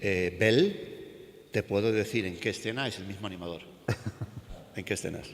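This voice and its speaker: Lowish man talking on a panel, emphatic, hall echo. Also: moderate pace, slight background noise. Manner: emphatic, hesitant over names. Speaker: guest animator on a panel. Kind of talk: panel discussion. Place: art school event hall. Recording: echoey hall.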